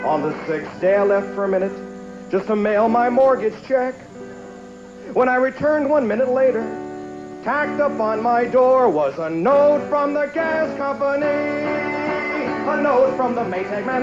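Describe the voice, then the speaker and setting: A man's voice: very high-pitched, unhurried. A man performing a standup comedy routine, emphatic and stretching out his punch lines. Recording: dull lo-fi echoey archive TV recording, music.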